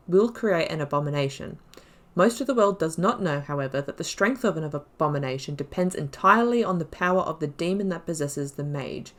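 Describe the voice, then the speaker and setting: Lower-pitched woman hosting a game playthrough. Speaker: gamer host of a playthrough series. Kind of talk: reading game lore aloud with excited, casual commentary.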